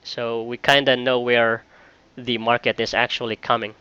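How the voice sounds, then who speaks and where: A man talking casually in a job interview call as a virtual assistant, halting and repeating phrases with frequent filler phrases. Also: medium pitch, little pitch movement, pushed and bright, smooth, dry recording, dull audio. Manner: halting, repeats phrases, often says "you know". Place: online call.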